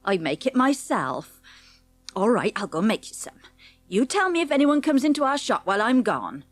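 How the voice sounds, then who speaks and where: Homely storybook shopwoman, brisk, motherly, animated pitch, dry sound, faint music. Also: no echo. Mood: homely, helpful. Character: kindly, busy village shopwoman with grown sons. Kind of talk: audiobook character dialogue.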